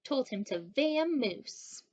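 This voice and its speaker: Young woman, high pitch, very lively intonation, story time reader, expressive, talking to small children. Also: takes it slowly, dull audio. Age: young adult. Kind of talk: children's picture book read-aloud. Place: living room indoors.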